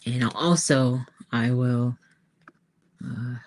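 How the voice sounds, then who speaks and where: Female, low register, informal creator chat, halting and unscripted. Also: darkish tone. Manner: informal, halting, thinking aloud. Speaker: author-artist hosting a creative livestream. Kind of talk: casual chat to viewers while working on art.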